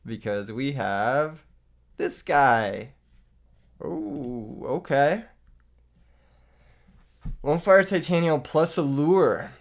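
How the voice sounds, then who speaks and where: A man's voice: on the high side, very animated, very slow, gravelly, pauses often. A young man who has lost his voice to illness, joking and laughing as he commentates a card game he is playing for his channel. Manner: joking, self-mocking, laughs often. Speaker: gaming YouTuber. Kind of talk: live gameplay commentary.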